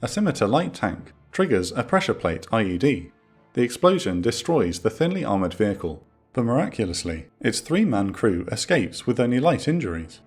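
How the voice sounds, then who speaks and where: A man narrating a scripted military documentary in a measured, dramatic storytelling manner. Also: on the low side, sing-song, husky. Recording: music under the voice. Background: background music.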